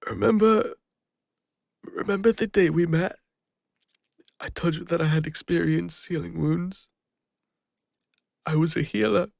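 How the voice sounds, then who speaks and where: A gentle lone ranger acted by a man with an English accent, in an intimate audio roleplay. His voice sits a little high for a man, with a crackly edge. He speaks haltingly and bashfully, like a soft-hearted former healer confiding in someone close, in a very dry, close recording. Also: creaky, dry recording. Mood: vulnerable, regretful. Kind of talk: intimate scripted audio roleplay addressed to the listener.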